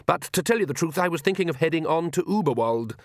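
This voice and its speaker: A man voicing an earnest, politely cheerful priest who speaks haltingly and deferentially in an audiobook. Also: a little high, lively intonation, no echo, studio quality, pitched above the performer's own voice. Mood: awkward, resolute. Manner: hesitant, deferential, halting with ums. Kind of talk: audiobook character dialogue.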